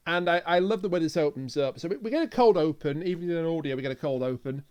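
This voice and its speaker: A man's voice: fairly high pitch, expressive, fast talker, a little mellow, smooth. A man co-hosting a podcast, chatting casually through a review. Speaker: podcast co-host.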